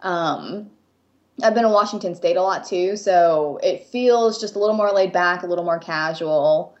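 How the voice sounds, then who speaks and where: A woman's voice: lowish voice, normal speed. Friendly young American-accented woman guest, slightly muffled. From Japan. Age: 20s. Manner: friendly, laughs easily, many ums. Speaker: guest artist. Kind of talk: casual interview chat.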